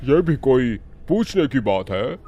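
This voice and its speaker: A man's voice: mid-range voice, big pitch swings, takes it slowly, mellow, muffled recording. A man voicing a vengeful, lovesick male ghost groom in a horror cartoon, with a commanding, dramatic delivery. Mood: pleased. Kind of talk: Hindi horror cartoon voice acting.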